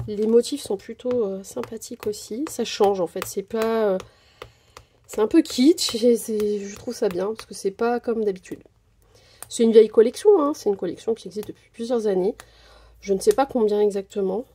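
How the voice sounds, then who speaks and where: Chatty woman with a husky, mellow voice. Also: mid-range voice. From Portuguese descent. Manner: chatty, hesitant, many fillers. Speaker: craft vlogger. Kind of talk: casual product haul narration. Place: indoors at a craft table. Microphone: camera over table, hands only.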